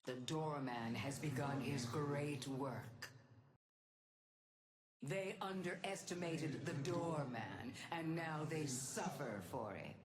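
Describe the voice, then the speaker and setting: An actress playing a solemn, imperious cult matriarch in a video game, speaking in a grand, measured, ominous manner. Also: female voice, deep voice, expressive, echoey room. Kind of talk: theatrical game voice lines.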